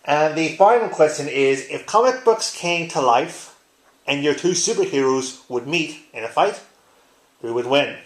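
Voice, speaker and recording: A man's voice: a little high, animated, measured pace. Casual man in an echoey room. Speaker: video blogger. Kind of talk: casual tag-response vlog chat. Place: living room, indoors.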